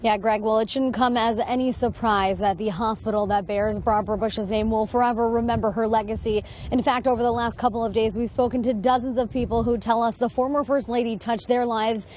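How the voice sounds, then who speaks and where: A woman's voice: a little high, a little mellow, slight background noise. An American woman giving a live TV news report from the field. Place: on location at a hospital.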